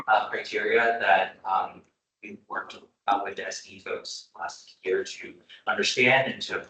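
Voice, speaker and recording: A man presents research methods at a meeting in a methodical way, with plenty of hedging fillers. His voice is low and bright. He is picked up from a distance in an echoey room. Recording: echoey room, mic far off.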